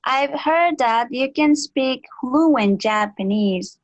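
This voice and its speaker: Slow-talking young woman hosting. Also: high-pitched, expressive, dry recording, muffled recording. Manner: frequent soft backchannel murmurs and laughs. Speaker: facilitator of a student livestream. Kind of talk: hosting a panel talk in English.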